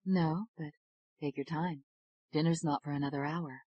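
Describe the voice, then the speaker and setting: A woman's voice: low-pitched, fairly even intonation, moderate pace, breathy edge, muffled recording. A woman voicing a playful, supportive young wife who teases affectionately. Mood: teasing.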